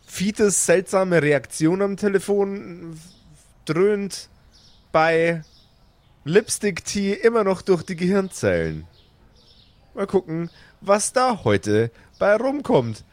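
A man's voice: high voice, lively intonation, takes it slowly, no echo. A man narrating an improvised story playfully as game master.

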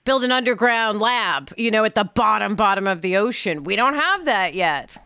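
A woman's voice: mid-range voice, expressive, moderate pace, sharp tone, clear, resonant tone, no echo, studio quality. A woman hosting a podcast, talking in a casual conversational style. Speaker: podcast host.

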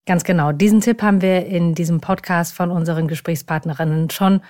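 A woman's voice: fairly low pitch, steady tone, brisk, a little mellow. A woman hosting a podcast in a conversational interview style. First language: German. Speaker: podcast host.

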